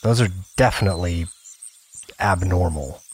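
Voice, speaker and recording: A man's voice: sits low, normal speed, breathy edge. A man playing an earnest, obsessive gamer in an audio drama, confiding his play session in short, broken-up phrases. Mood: absorbed, puzzled. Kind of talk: first-person scripted audio drama narration.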